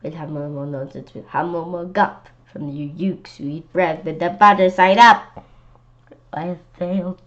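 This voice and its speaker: A woman with a lowish voice reads a storybook aloud. She goes haltingly, tripping on words and repeating them, and drops in casual asides. The recording is slightly dull and lo-fi. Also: steady tone. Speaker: read-aloud presenter.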